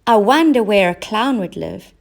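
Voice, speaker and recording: A woman voicing a brisk, helpful, slightly bossy female cat in a children's storybook. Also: mid-range voice, lively intonation, gravelly. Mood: helpful, thinking.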